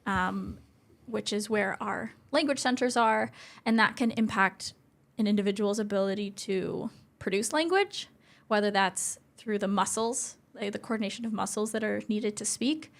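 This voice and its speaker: A young woman with a medium-pitched voice speaks as an interview guest. Her style is explanatory and earnest. She lays out her points step by step, like a specialist talking to the public. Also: normal speed, gravelly.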